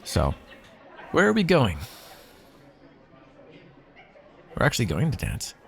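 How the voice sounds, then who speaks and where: A man's voice: mid-range voice, wildly swooping pitch, fairly quick, vocal fry. A man playing a wry, teasing royal heir and former mercenary in an ASMR fantasy roleplay, with formal, theatrical phrasing. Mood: surprised.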